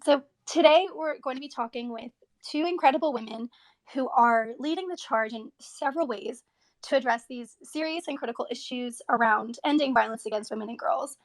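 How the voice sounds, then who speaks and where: A woman's voice: fairly high pitch, gravelly. A woman hosting an interview podcast, introducing the show and its guests eagerly, with frequent ums and restarts. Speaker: host.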